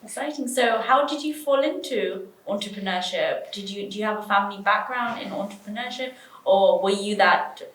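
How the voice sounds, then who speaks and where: A woman's voice: mid-range voice, moderate pace, fairly bright tone. A woman hosting an interview podcast, asking short questions and prompting the guest with murmurs. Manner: brief prompts and encouraging murmurs. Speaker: podcast host. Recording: echoey room.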